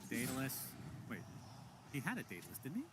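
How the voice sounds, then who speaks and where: A man doing play-by-play commentary on an esports match, quick to burst into exclamations. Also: medium pitch, heavy background noise. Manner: excitable, breaks into exclamations. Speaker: esports caster.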